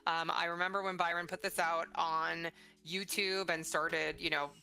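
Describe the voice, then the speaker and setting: Bright-voiced middle-aged woman interviewing. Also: fairly low pitch, level tone, moderate pace, gravelly. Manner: enthusiastic, encouraging. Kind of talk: casual conversational interview. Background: faint music.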